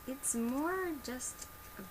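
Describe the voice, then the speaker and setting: Quiet soft asmr girl in her 20s explaining stuff real slow, noisy webcam sound in a bedroom. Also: high voice, lively intonation. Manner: gentle, unhurried, instructional. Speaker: ASMR creator playing a customer service presenter. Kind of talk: soft-spoken ASMR product demonstration. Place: small bedroom, indoors. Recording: noisy amateur home webcam recording. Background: clear background noise.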